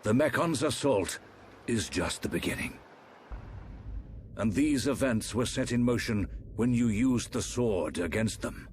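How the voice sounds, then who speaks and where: An actor playing a grave, aging emperor in a fantasy video game, speaking in a formal, measured way. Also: male voice, mid-range voice, lively intonation, a little hiss or hum, lightly acted. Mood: solemn. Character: solemn aging emperor, stately fantasy ruler and father. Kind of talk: dramatic video game voice acting.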